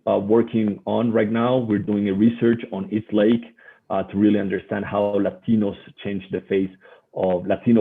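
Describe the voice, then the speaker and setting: A man with a Colombian Spanish accent presenting as a guest researcher in a webinar, haltingly and often repeating words. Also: fairly low pitch, slightly rough. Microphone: online video call. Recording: muffled, phone-like webinar audio.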